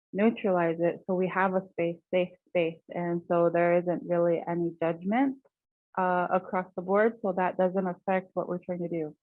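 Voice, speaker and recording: A soft-spoken woman caller who hesitates and searches for words as she asks her question. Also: fairly low pitch, no echo. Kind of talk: casual chat. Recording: dry, tinny call line.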